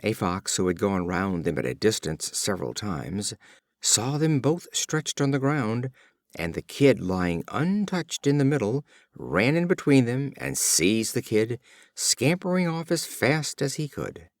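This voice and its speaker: A man narrating fables from a script as an audiobook reader, in an expressive, theatrical storytelling manner. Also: fairly low pitch, measured pace, dry recording.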